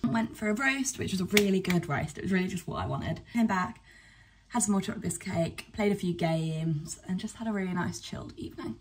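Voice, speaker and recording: A young woman from England chatting casually to camera in a vlog, rambling with fillers and quick asides. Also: lowish voice, expressive, recorded from a distance, a little hiss or hum. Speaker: vlogger. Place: cottage bedroom indoors, later outdoors on a walk. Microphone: camera at arm's length.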